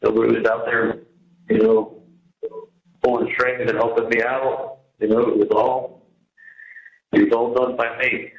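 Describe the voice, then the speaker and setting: A man in jail venting on a phone call in a halting, repetitive, casual way. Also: medium pitch, level tone, gravelly. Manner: halting and repetitive, venting about his situation. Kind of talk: casual, rambling personal phone conversation. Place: jail, on a telephone. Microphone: telephone handset. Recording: echoey jail telephone call.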